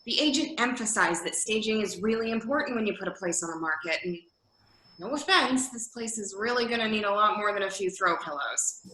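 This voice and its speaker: A woman playing a brisk, exasperated adult daughter in a staged reading, speaking in a hurried, bossy, emphatic way. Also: medium pitch, expressive, pushed and bright, roomy sound, a little hiss or hum, low-quality recording. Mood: harried, exasperated. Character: brisk, exasperated adult daughter managing her mother's move. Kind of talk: staged reading of a comic drama sketch.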